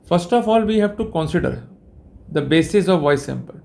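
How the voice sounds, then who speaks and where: Indian guy doing a formal lecture, slightly high voice, careful phrases w short pauses. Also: expressive, vocal fry, a little mellow. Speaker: legal educator presenting a lecture.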